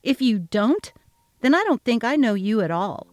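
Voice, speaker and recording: A woman's voice: on the high side, sing-song, moderate pace, no echo, clean studio sound. Woman reading a story dramatically. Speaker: story narrator. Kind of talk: dramatic reading of text messages.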